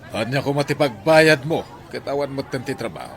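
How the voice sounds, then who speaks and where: A man's voice: medium pitch, expressive, a little fast, fairly bright tone. An actor playing a frustrated, broke male doctor in a radio drama. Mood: exasperated. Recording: busy street noise and crowd.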